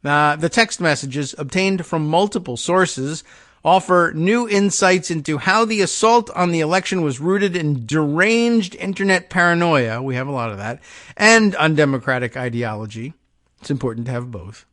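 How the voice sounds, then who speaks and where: A man's voice: on the high side, animated, moderate pace, fairly bright tone, dry recording, studio quality. A man hosting a political talk show, reading news passages aloud and breaking off into sardonic, rambling asides. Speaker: political podcast host.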